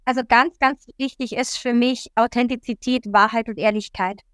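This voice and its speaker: A woman from Italy, a coach, talking as a guest in an earnest, lively way with many fillers. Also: on the high side, normal speed, dry recording, clean studio sound. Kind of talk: interview talk.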